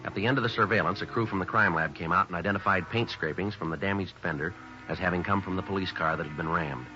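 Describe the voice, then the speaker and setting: A man playing a stern, businesslike police sergeant who narrates a 1950s radio drama in a terse, deadpan way. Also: low-pitched, fast. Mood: flat, factual. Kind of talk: 1950s radio acting, clipped procedural narration. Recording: dull 1950s radio recording with music.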